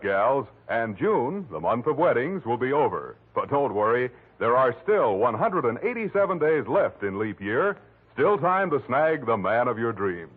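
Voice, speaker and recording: A man's voice: medium pitch, breathy edge. A man announcing in 1940s radio style, with an emphatic, polished sponsor's sales delivery. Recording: tinny, band-limited 1940s radio broadcast. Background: music.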